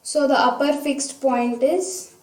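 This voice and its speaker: A woman's voice: sits high, slow, darkish tone. A woman teacher methodically explaining a worked problem step by step, talking through each stage aloud. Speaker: science teacher. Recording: roomy echo, distant microphone.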